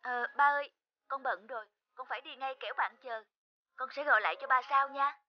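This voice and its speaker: An actress playing a young student daughter in a cartoon drama, soft-spoken, evasive and somewhat hurried. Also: female voice, high-pitched, fairly even intonation, muffled recording, heavy background noise, lightly acted.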